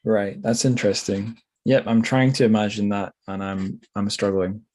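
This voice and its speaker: Male podcast host, slightly low register, casual literary discussion, musing and tentative delivery. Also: steady tone, normal speed, a little mellow.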